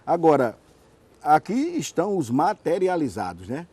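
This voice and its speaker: Brazilian man interviewing, lively husky voice. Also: on the high side, a little slow, no echo. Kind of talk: conversational interview questioning.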